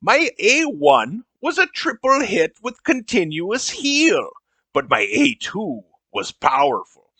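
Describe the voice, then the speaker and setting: A man's voice: sits high, huge pitch swings, slow pace, bright, forceful tone, breathy edge, no echo, heavily acted. A man voicing a boastful pirate-like video game champion with growly pirate talk, in a comedy sketch. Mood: boastful, baffled.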